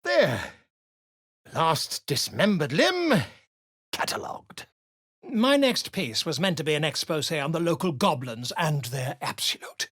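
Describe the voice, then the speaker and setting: A man's voice: fairly high pitch, big pitch swings, a little slow, a bit bright, no room sound, studio quality, heavily acted. An actor playing an eccentric, pompous male bard and scholar in a video game, speaking in flowery, declamatory phrases. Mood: fussy.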